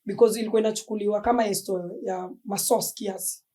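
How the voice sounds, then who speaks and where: A woman's voice: medium pitch, fast. A young Kenyan woman with a Kenyan accent, a podcast guest in casual chat, easily mixing slang and English. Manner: relaxed, mixes Swahili slang and English.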